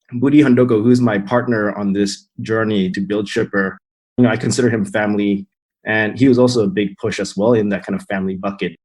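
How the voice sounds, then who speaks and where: A man's voice: fairly low pitch, a little mellow, husky, low-quality recording. Reflective man from Thailand. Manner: reflective, measured explanations. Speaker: company co-founder and CEO. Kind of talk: interview answers.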